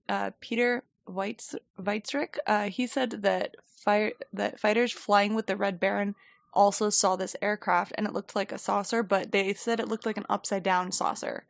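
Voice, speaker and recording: A woman's voice: medium pitch, flat delivery, a little slow, vocal fry, no echo, lo-fi sound. A woman co-hosting a podcast, telling a story in a chatty, rambling way and laughing often. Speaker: podcast co-host. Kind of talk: casual storytelling chat. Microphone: desk microphone.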